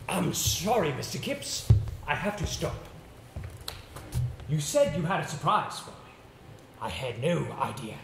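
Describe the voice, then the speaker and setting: Stage drama: male actor as formal, effusive, anxious gentleman, highish voice, roomy noisy recording. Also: very animated, measured pace, vocal fry, pauses often, full character voice. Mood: awed, effusive. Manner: formal, theatrical, hesitant phrasing. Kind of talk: stage play acting. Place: stage in a theatre.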